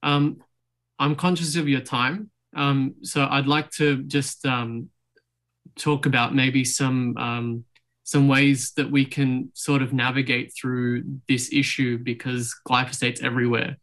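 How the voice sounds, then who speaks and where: Young man asking halting questions, flat. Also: mid-range voice. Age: young adult. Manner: hesitant, many ums and restarts. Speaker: podcast host. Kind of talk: interview questions. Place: bedroom at home, indoors. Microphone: video call.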